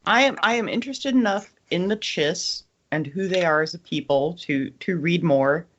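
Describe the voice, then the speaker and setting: A woman's voice: lowish voice, normal speed, highs cut off, slight background noise. A woman co-hosting a casual book discussion among friends, speaking with wry, dry humor. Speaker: podcast co-host.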